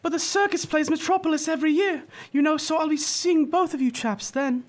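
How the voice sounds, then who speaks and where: A tiny, chipper English gentleman acted by a woman for a radio serial, with an extremely high little voice. He speaks in fussy, plummy British phrases, proud of himself and given to small stammers. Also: brisk, natural voice. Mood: proud, chatty. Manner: plummy, fussy old-fashioned British speech with hesitant stammers. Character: tiny jolly English gentleman circus performer, chipper and vain. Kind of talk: old-time radio serial acting.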